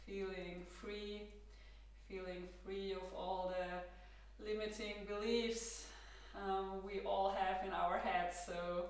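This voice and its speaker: A woman with an Austrian accent, a native German speaker, leading a guided yoga class with calm, gentle instructions. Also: mid-range voice, distant mic. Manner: calm, gentle cueing with soft laughs. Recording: roomy echo, from across the room.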